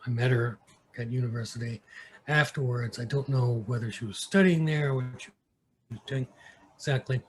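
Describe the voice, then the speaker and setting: A man from New York with an American accent, an interview guest telling stories casually and haltingly, sometimes switching between English and Mandarin. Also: mid-range voice, low-quality recording. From New York, United States. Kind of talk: casual conversational storytelling. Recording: clear background noise.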